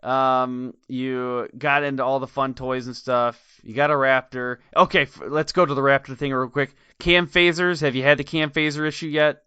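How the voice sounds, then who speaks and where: A man's voice: medium pitch, fairly even intonation, moderate pace, few pauses, sharp tone, clean tone, no room sound. A man with a Midwestern American accent hosting a podcast, chatty and enthusiastic with a joking streak. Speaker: podcast host. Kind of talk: casual interview chat. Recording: dry, slightly dull recording.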